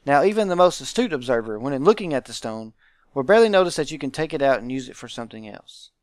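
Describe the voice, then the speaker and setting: A man's voice: medium pitch, very animated, quick pace, dry recording, clean studio sound. A man hosting a visual novel let's play, reading game text aloud and adding informal commentary.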